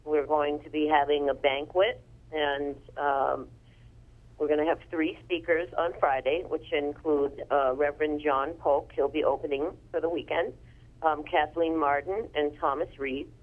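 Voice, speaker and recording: A woman's voice: low voice, steady tone, a little slow. A woman speaking over a telephone line as an interview guest, talking casually and informatively about what she organizes.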